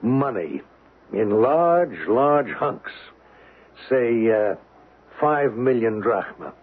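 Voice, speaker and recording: An actor playing a cocky American blackmailer in a radio drama, brash, terse and calculating. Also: male voice, mid-range voice, expressive, very slow, slightly rough, exaggerated voice. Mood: businesslike, demanding. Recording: muffled phone-like old radio recording.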